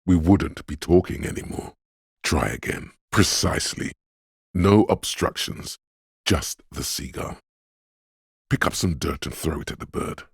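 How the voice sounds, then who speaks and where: An actor playing the coolly urging inner voice of a detective's reflexes in a video game, narrating to the listener in a deliberate, intimate, theatrical way. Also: male voice, dry recording, studio quality, full character voice. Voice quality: very deep, smooth, resonant. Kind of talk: dramatic second-person game narration.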